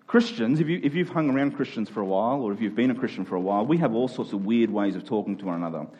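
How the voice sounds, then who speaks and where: A man's voice: fairly low pitch, animated, rapid-fire, a little mellow, slightly rough, lo-fi sound. A man preaching a conversational sermon in an informal but emphatic way, repeating key phrases to drive them home.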